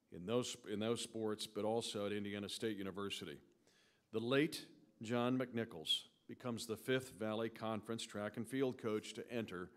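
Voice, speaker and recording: A man with a fairly low voice serves as announcer at an awards ceremony. He reads formal, scripted introductions of honorees in a measured, orderly way.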